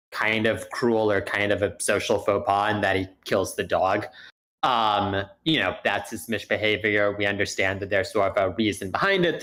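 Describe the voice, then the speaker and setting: A man with a fairly low voice offers an off-the-cuff analytical critique over an online call. He reasons aloud, qualifying his points as he goes. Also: fairly bright tone. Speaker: workshop participant giving feedback. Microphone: online voice meeting.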